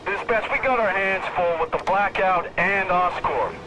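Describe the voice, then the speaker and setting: Overwhelmed patrol cop, high-pitched, radio effect, noisy transmission, video game. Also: male voice, moderate pace. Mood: strained, firm. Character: overwhelmed male police officer on radio. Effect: police radio.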